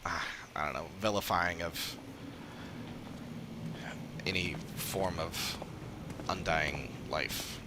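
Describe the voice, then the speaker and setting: A man's voice: deep voice, steady tone, slow, long pauses, background noise. A man giving casual livestream game commentary, musing and opinionated as he goes. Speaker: game streamer. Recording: game music behind.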